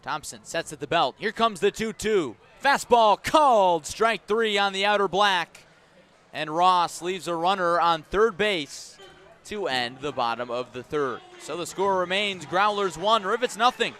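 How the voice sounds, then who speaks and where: A man calling baseball play-by-play live on the radio, measured in delivery and punchy on the action. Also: high-pitched, lively intonation, fairly bright tone. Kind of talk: live radio sports commentary. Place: outdoor ballpark.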